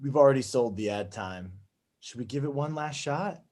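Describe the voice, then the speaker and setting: A man's voice: mid-range voice, animated, a little mellow, lightly acted. A man playing a pompous, sentimental network executive in a comedy table read, in a theatrical way. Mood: subdued. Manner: slow, theatrical.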